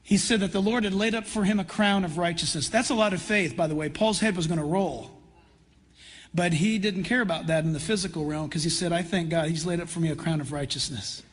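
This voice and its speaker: An American man, a middle-aged or older pastor from California, addressing a church conference in an earnest, conversational preaching style with deliberate pauses. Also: sits high, breathy edge. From California, United States. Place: large church hall. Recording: reverberant large hall, live PA sound.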